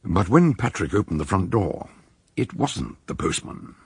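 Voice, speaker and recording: A man's voice: sits low, very animated, unhurried, vocal fry, background noise, full character voice. A man giving scripted narration for a radio drama.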